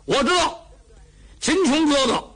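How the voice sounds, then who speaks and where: A man voicing a rough, loud young male bandit hero who talks bluntly and blusters. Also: very high voice, very animated, unhurried, voice pushed up, heavily acted. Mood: blustering.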